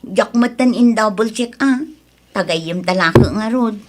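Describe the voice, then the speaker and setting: A woman's voice: mid-range voice, unhurried, lightly acted. An actress playing an earnest, dutiful young Ilocano woman in a radio drama, speaking expressively. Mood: worried. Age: young adult.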